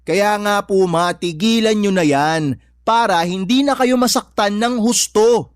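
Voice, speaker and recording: An actor playing a protective, exasperated adult son in a radio-style drama, blunt and earnest, with a Filipino accent. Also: male voice, high voice, a little slow, clear, resonant tone, dry recording, clean studio sound.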